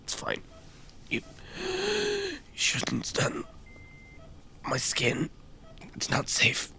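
An actor playing an injured, tormented Irish fire officer in a radio drama, weak and withdrawn, breathy and halting. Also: male voice, very high voice, huge pitch swings, pushed and bright, slight background noise, full character voice. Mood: weak, guarded. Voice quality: breathy, whispery, creaky. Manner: halting, terse replies. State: weak and in pain, coughing.

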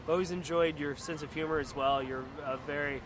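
A middle-aged man hosting a casual podcast chat, speaking effusively and haltingly, often repeating his words. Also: on the high side, steady tone. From northern United States, grew up partly in the South. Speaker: podcast host. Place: outdoors at a pizza place. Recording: noisy outdoor spot with traffic.

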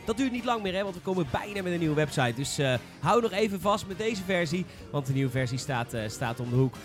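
A man's voice: high voice, lively intonation, fairly quick, faint music behind. A man hosting a casual gaming podcast in an informal, enthusiastic manner. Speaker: podcast host.

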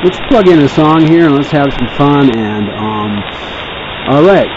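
A man's voice: fairly high pitch, measured pace, muffled recording. Guy hosting a radio show, laid-back and rambly, buried in shortwave hiss. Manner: relaxed, rambling, many fillers. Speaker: radio host. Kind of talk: casual on-air talk. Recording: noisy shortwave radio reception.

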